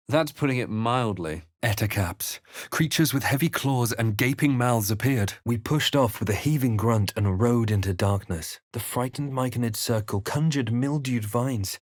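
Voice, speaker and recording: A man voicing a brooding fantasy adventurer in a video game, speaking in a measured, earnest, theatrical way. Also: medium pitch, no room sound, studio quality, close to an everyday voice. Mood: wry, casual. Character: brooding male fantasy adventurer hero, narrating his visions and deeds. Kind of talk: dramatic video game voice acting.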